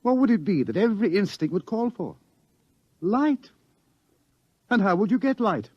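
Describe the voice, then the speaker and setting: A dramatic radio scientist, fairly high in pitch and swooping with emphasis. He lectures with grim certainty on a dull, lo-fi recording. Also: sing-song, no echo. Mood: authoritative. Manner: emphatic, theatrical, ominous pauses. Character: grimly certain scientist doctor, lecturing on coming madness. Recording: dull, dry vintage radio sound.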